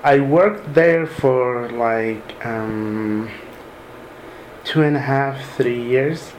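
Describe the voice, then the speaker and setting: A man in his thirties from Sudan, softly recalling his move abroad in an unhurried way with many pauses. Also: mid-range voice. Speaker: interviewee. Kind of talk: casual personal recollection. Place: room in a mosque. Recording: echoey room with ambient noise.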